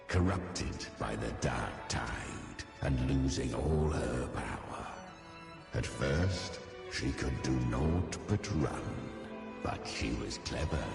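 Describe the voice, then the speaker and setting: A male actor playing an ancient, menacing demonic beast in a fantasy game, telling dark tales in archaic, ominous phrasing with a gravelly voice. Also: very deep voice, heavily acted. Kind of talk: dramatic fantasy game storytelling. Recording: heavy echoing reverb over music.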